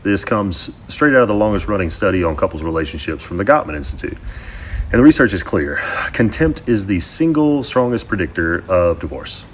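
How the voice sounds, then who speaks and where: A man delivering a scripted relationship advice monologue with slow, deliberate phrasing. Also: low-pitched, big pitch swings, a little hiss or hum. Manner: slow, deliberate, dramatic pauses.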